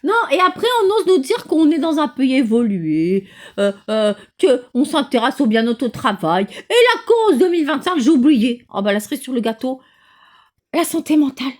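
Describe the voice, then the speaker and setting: A woman's voice: high-pitched, very animated, moderate pace, a little mellow. A woman talking casually and bluntly at home, outspoken and exasperated. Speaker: vlogger. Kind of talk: casual indignant chat.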